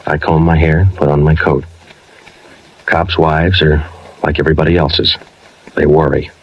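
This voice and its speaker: An actor playing a stoic American police sergeant, narrating in a clipped, deadpan 1950s radio drama style. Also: male voice, extremely low pitch, unhurried, husky, heavily acted. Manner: clipped, deadpan, matter-of-fact. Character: terse, stoic male police sergeant narrating his case. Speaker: detective and narrator. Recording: muffled vintage radio drama recording.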